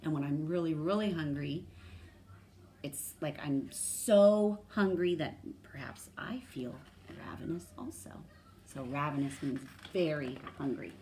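A woman's voice: low voice, measured pace, darkish tone, a little hiss or hum. A woman in her thirties or forties reading a storybook aloud and explaining words. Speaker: read-aloud host. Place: small room indoors.

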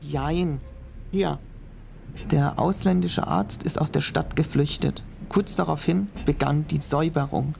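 A man's voice: fairly high pitch, a little slow, creaky, darkish tone, a little hiss or hum. A young man playing a cautious student who reads old notes aloud, in an audio drama. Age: young adult. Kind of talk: amateur audio drama acting.

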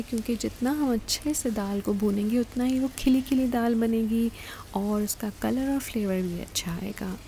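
A woman with a high, slightly creaky-edged voice walks viewers through a recipe step by step. She speaks to them directly as an instructor, with clear sizzling and stirring noise behind her. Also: warm tone. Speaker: cooking show host. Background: frying, sizzling and stirring.

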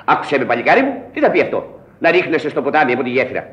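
A man's voice: mid-range voice, big pitch swings, fairly bright tone. An actor playing an earnest, simple policeman with a pompous manner in a Greek radio play. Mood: officious, exasperated. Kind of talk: Greek radio theatre acting. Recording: muffled old radio drama recording.

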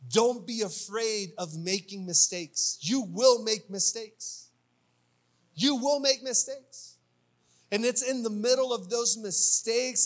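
High-pitched, unhurried male preacher. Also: a bit bright. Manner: emphatic, repeating phrases for effect; conversational, informal asides. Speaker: pastor preaching at a church service. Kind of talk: sermon. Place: church stage indoors. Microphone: handheld microphone.

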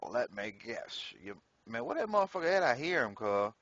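Slangy, lively man streaming a game. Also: medium pitch, moderate pace, no echo, dull audio. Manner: slangy, profane, laughs often. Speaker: gameplay streamer. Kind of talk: casual live gameplay commentary.

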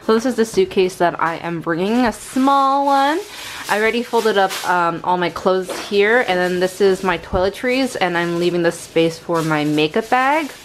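A woman's voice: medium pitch, normal speed, slight background noise. A young woman from Montreal in her twenties, chatting casually and cheerfully to a handheld camera in her bedroom as a lifestyle vlogger. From Montreal, Canada. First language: English. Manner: chatty and upbeat, laughs often. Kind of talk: casual vlog chat to camera. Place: small bedroom at home. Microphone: handheld camera at arm's length.

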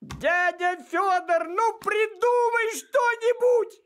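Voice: very high voice, fairly even intonation, takes it slowly, fairly bright tone, a little hiss or hum, full character voice. An actor voicing a fussy, grumbling male house cat with a querulous, aggrieved manner in a children's cartoon.

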